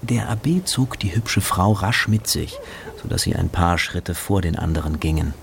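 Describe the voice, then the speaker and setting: A male radio drama narrator with a deep register and plenty of fry. He reads scripted literary narration, with clear hum on the track. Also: lively intonation, moderate pace. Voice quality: creaky.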